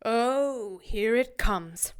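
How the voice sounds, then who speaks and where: A woman playing a proud, righteous archangel in an audio drama, speaking in a clear voice with cool, skeptical dryness. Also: a little high, lively intonation, drawn-out words. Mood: wary, dry. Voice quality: smooth, clear. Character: proud, righteous female archangel, wary and defiant.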